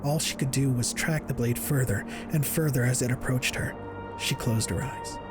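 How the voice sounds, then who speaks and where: A man narrates an action audio drama in a mid-pitched voice. His delivery is scripted and dramatic, in the manner of an audiobook. A musical score plays clearly behind him. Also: moderate pace, loud music behind the voice.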